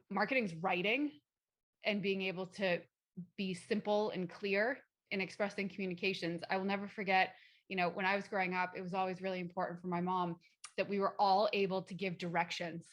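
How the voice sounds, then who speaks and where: A woman's voice: mid-range voice, steady tone, fairly quick, a bit bright. A woman speaking as a panelist in a casual online discussion, talking loosely and conversationally with plenty of fillers.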